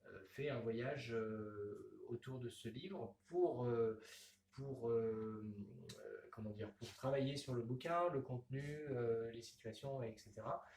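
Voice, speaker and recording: A man, a comics author, talking in a radio interview with many pauses and restarts. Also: medium pitch, darkish tone, roomy sound, recorded from a distance. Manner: hesitant, many fillers and restarts.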